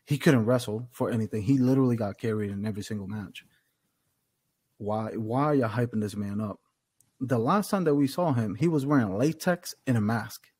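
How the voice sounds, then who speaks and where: A husky-voiced American man, recorded dry and close on a desktop mic. He argues his opinions with emphasis and plenty of slang, in the loose style of a podcast chat between friends. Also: medium pitch, fairly quick, a little mellow, no room sound. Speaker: podcast co-host. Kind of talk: casual wrestling review chat. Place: small room at home, on a video call. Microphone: desktop condenser microphone, close.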